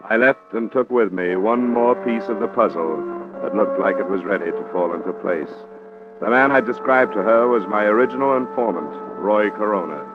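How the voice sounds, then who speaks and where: Guy playing tough low-voiced radio detective, clipped n blunt, tinny noisy old recording. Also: normal speed, loud music behind the voice, close to an everyday voice. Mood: wry, matter-of-fact. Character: tough, dogged male freelance insurance investigator. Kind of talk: 1950s radio detective acting and narration.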